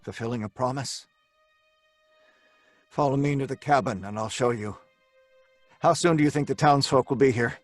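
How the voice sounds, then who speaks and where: A guilt-ridden, defiant male scientist tells his story in an old radio horror style, played by a man. His voice is medium-pitched, grave and deliberate. It sounds close and dry. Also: no echo, soft background music, lightly acted. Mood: grim confession. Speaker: lead character and narrator. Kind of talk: old-time radio drama acting.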